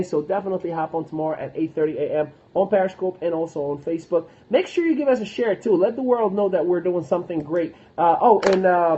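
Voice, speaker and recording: Earnest high-voiced man from Morocco. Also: mellow, muffled recording. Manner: earnest, many fillers and restarts. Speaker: motivational speaker. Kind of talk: casual livestream talk.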